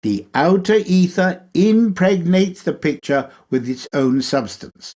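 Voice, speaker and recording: A man reading a spiritual lecture aloud in a deliberate, measured way, with emphatic pauses. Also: mid-range voice, a little mellow, slightly rough. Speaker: reader.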